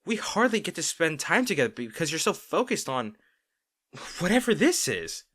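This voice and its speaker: A man's voice: on the high side, sing-song, normal speed, fairly bright tone. A man playing a caring, worried boyfriend in an intimate roleplay audio drama, earnest and pleading. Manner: earnest, pleading, emphatic, with sighs.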